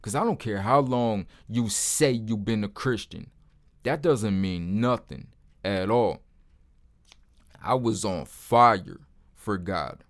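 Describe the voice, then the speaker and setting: Deep-voiced man, blunt and casual. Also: slow. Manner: blunt, informal speech with frequent "man". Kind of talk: casual testimony to camera.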